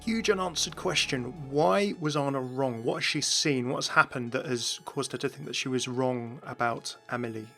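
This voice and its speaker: A man's voice: mid-range voice, normal speed, few pauses, pushed and bright, soft background music. A man hosting a video about game voice lines with enthusiastic commentary. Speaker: video host.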